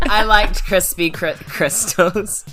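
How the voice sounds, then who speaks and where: A woman with a New Zealand accent chatting casually as a tabletop game player. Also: fairly low pitch. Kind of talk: casual chat.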